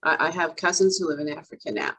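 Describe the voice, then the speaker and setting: A woman who grew up in Jamaica and left young, hosting an interview and steering it with brisk questions. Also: sits low, big pitch swings, a bit bright, husky. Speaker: interview host. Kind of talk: conversational interview.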